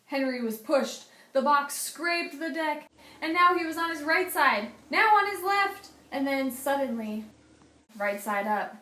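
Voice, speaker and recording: A woman reading a children's picture book aloud as a teacher, with a deliberate, dramatic storytelling delivery. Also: sits high, lively intonation, pushed and bright. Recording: echoey room.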